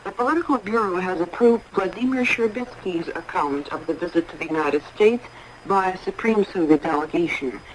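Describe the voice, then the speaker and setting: Female newsreader, lower register, formal and measured, 1980s shortwave broadcast with faint noise. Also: poor audio. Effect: shortwave radio. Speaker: radio news announcer. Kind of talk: formal scripted 1980s international radio newsreading.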